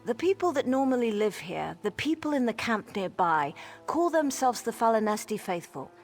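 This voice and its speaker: An actress playing an exasperated, wry mage scholar in a video game, explaining things articulately. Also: female voice, fairly high pitch, big pitch swings, moderate pace, faint music behind. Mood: weary, concerned. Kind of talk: conversational video game dialogue.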